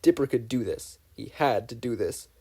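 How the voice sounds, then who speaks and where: A man's voice: medium pitch, normal speed, a little mellow, slightly rough. A man reading a scripted story aloud as a narrator. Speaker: podfic reader.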